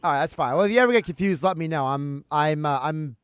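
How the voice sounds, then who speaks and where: A high-pitched male host speaks in a dry, close voice. He leads the interview with long, meandering questions and a chatty, geeky streak. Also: fast talker, smooth, no room sound. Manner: chatty, rambling questions, self-described geek. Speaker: radio host. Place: home studio.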